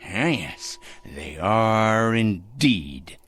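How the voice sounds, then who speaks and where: A man's voice: lowish voice, sing-song, very slow, fairly bright tone, a little hiss or hum, exaggerated voice. An actor in a radio drama playing a fussy, sanctimonious, secretive man obsessed with judging evil, speaking precisely and condescendingly. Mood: gleeful, obsessed. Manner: pompous, condescending, precise and theatrical.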